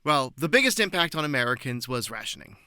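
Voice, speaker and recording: Man, mid-pitched bright voice, articulate, informative interview guest, measured phrasing, close dry sound. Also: sing-song, no echo. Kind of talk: prepared explanatory interview answers.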